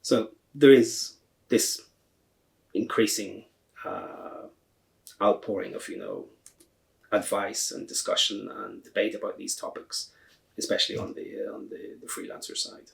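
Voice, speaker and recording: Low, very creaky Northern Irish man. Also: steady tone, measured pace, pauses often, fairly bright tone, poor audio. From Northern Ireland. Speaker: podcast guest, translator and association chair. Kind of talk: conversational interview answers.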